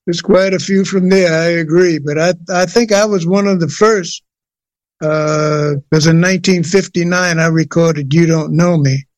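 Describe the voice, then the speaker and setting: An older man from New Jersey, a singer, telling his story in an interview with many pauses and restarts. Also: fairly high pitch, fairly even intonation, no echo. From USA, New Jersey. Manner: hesitant, many fillers and restarts. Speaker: guest singer.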